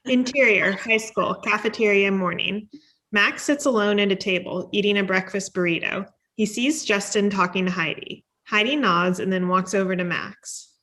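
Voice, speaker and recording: A woman narrates a screenplay at a table read, giving scene headings and actions as scripted narration. Her voice sits at a middle pitch. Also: moderate pace, a bit bright. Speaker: stage directions reader at a table read.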